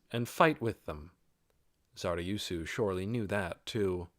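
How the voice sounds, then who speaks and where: A man with a fairly low voice narrates a fantasy novel. The delivery is scripted storytelling, and the sound is dry, with very little room in it. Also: no echo. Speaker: audiobook narrator.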